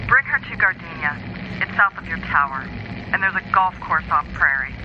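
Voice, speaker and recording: An actor playing a menacing, commanding villain giving clipped orders over a radio in an audio drama. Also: male voice, on the high side, animated, moderate pace. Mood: cold, demanding. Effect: radio transmission filter. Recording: dull, noisy radio transmission.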